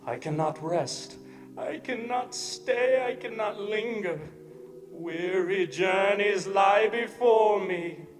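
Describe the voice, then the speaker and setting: A man playing a tormented, remorseful ghost in a radio drama, declaiming solemn warnings in a mournful, drawn-out manner. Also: high voice. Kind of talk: stage radio drama acting. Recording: echoing hall, long reverb, music underneath.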